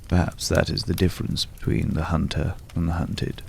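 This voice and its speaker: A man acting a mysterious, courtly, world-weary man in an intimate, hushed ASMR roleplay, with florid, old-fashioned, pensive phrasing and a gravelly voice. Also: low voice, little pitch movement, normal speed, slight background noise. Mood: wistful, pensive.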